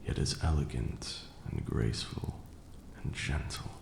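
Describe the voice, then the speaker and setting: Over rain and thunder in a roomy echo comes an ancient vampire lord, acted by a man. He speaks slowly in an extremely deep voice, hushed and formal. He is proud yet wistful and tender, like a storyteller in an intimate ASMR roleplay. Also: gravelly, noisy background, heavily acted. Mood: tender, admiring. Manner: measured, formal, hushed, with long pauses.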